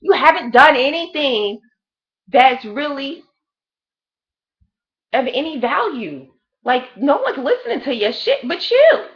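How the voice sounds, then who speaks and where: A woman's voice: high voice, big pitch swings, slow, long pauses, muffled recording. A woman in her late thirties giving a blunt, profane, rambling gossip review of a reality show in a casual chat. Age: 30s.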